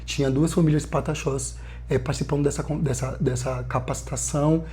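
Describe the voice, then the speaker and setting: Earnest young missionary man, mellow voice. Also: a little high, a little fast, a little hiss or hum. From Bahia, Brazil. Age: 20s. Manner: earnest, lively storytelling with frequent fillers. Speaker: missionary guest. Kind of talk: casual podcast conversation.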